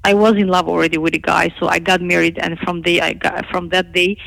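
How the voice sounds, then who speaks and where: A middle-aged woman from Lebanon, a native Armenian speaker, talking candidly and emphatically about her own life as an interview guest, with a creaky voice. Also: fairly low pitch, flat delivery, fairly quick, a bit bright, low-quality recording. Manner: outspoken, emphatic.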